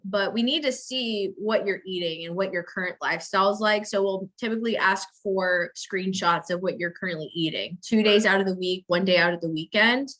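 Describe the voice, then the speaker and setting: A woman's voice: medium pitch, a bit bright, poor audio. A woman speaking as a fitness coach in a casual interview, explaining things step by step with frequent check-ins. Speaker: fitness coach and business owner, interview guest.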